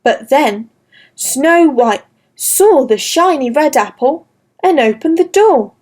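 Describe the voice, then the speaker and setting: A woman narrates a classic fairy tale for children in a slow, careful, gentle reading. Her voice sits high and the pace stays unhurried throughout. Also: lively intonation. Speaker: storyteller. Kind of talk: scripted children's story narration.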